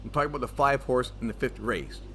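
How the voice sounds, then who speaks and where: A man's voice: mid-range voice, fast talker, a bit bright, lightly acted. A man playing a sarcastic, defensive, grumpy gambler in a scripted comedy audio drama. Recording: clear background ambience noise.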